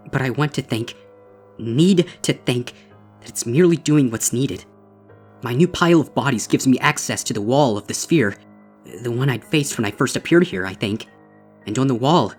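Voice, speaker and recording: A man reading a horror story as a traumatized female unicorn, grave, deliberate and intense, over music. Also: mid-range voice, breathy edge. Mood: desperate, intense. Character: traumatized young female unicorn, horrified and desperate. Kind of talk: dramatic first-person horror story reading.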